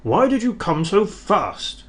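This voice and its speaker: A man voicing a stern but kindly portly railway director in a storybook reading, measured and firm. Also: sits high, big pitch swings, voice pushed up, heavily acted.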